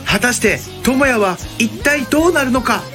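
A woman's voice: on the high side, lively intonation, moderate pace, fairly bright tone. A woman giving dramatic, scripted trailer narration for an audio drama. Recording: music behind the voice.

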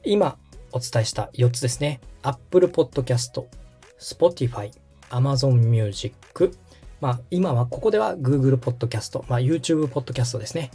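Podcast tutorial host: mellow, slightly husky, explanatory, wide-moving intonation over background music. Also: mid-range voice, lively intonation, slow. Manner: explanatory, checks the listener's understanding. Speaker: host and radio director giving a tutorial.

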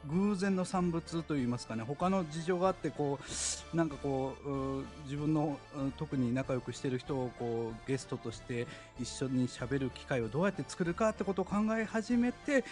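A man's voice: a little high, expressive, moderate pace, hardly any pauses, darkish tone. A man speaking as a guest in a casual live audio chat, polite and modest in manner. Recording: background music under the voice.

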